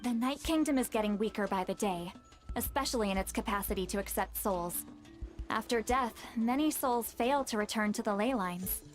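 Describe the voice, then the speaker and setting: Woman voicing blunt female game shaman, fairly high voice, grave, authoritative, explanatory, faint music underneath. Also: moderate pace, lightly acted. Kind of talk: video game voice acting.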